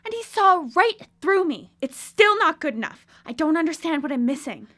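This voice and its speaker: Obsessive female scientist, radio drama, high pitch, earnest, intense, theatrical. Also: sing-song, normal speed, a bit bright. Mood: frustrated. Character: driven, obsessive woman scientist building a creature. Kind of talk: live radio drama acting.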